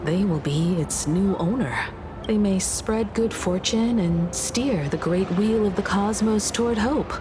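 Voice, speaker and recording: A woman voicing a composed, scholarly woman in an anime-style game, speaking with solemn, measured eloquence. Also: mid-range voice, background noise, natural voice. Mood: grave. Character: composed, scholarly woman archivist, grave and analytical.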